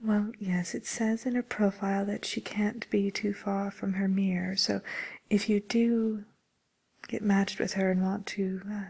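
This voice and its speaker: A woman playing a genteel, businesslike matchmaker for villains in a whispered ASMR role play, murmuring politely and hesitantly in a breathy voice. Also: medium pitch, flat delivery, a little slow, darkish tone. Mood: politely helpful.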